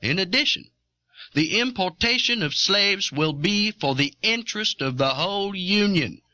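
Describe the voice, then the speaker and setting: Man acting an assertive, bright-voiced planter delegate. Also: sits high, animated, measured pace, no room sound, exaggerated voice. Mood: insistent. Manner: formal, insistent. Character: assertive eighteenth-century Southern planter delegate defending slavery. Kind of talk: dramatized historical debate speech.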